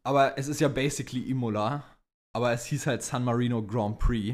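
A man's voice: mid-range voice, a little fast. A man co-hosting a podcast in casual chat, dropping English words and phrases into his speech. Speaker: podcast co-host. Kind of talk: casual chat about motor racing.

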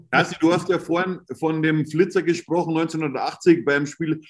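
A man's voice: on the high side, fairly even intonation, normal speed. A middle-aged man putting a casual question in a football talk.

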